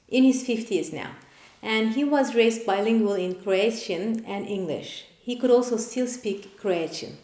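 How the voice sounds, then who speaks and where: Measured woman explaining in a roomy echo. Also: mid-range voice, darkish tone. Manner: measured, explanatory, listing points one by one. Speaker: parent and channel host. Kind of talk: scripted explainer. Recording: roomy echoey room.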